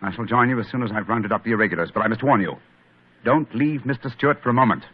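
An actor playing a brisk, commanding male detective, in a 1940s radio drama. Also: medium pitch, lightly acted. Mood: urgent. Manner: crisp, clipped and authoritative. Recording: muffled old radio broadcast with faint hiss.

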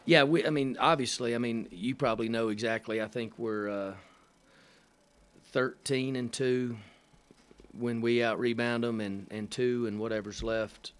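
A middle-aged man, a head coach, answering press questions after a game at a table mic, halting with many fillers and dry, matter-of-fact humor. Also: medium pitch, steady tone, clean tone. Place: press room in front of a sponsor backdrop. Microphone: table microphone.

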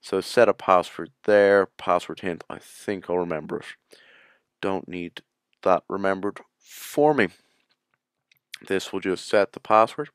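A man's voice: low voice, a little slow, smooth, no room sound, studio quality. A man narrating a screencast tutorial in a casual, informal way, explaining each step as he goes. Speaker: tutorial presenter.

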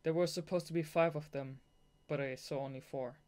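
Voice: medium pitch, fairly even intonation, brisk, a little mellow. A young adult native German speaker reading a novel aloud as amateur audiobook narration, sometimes breaking into gushing fan asides. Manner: giggly, gushing fangirl asides between read passages. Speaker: audiobook reader.

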